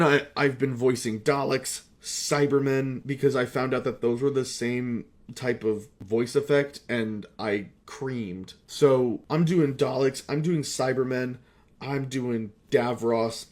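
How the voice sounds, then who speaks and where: Rambling, sweary young man vlogging. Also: medium pitch. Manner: self-deprecating, sweary, excitable. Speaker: video creator, amateur voice actor. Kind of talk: casual rambling retrospective vlog.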